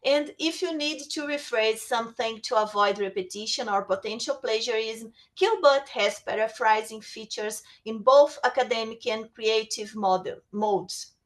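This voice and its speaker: A woman's voice: a little high, moderate pace, few pauses. A woman whose first language is Portuguese, presenting a webinar lecture on writing tools, reading prepared text with small restarts. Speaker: webinar speaker.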